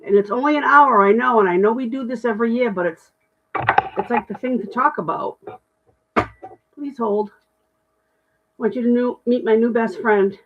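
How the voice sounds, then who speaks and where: Middle-aged woman, deep voice, candid self-deprecating livestream chat, tinny phone-like sound. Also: normal speed, pauses often, slight background noise. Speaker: livestream host. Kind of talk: casual livestream chat reading comments.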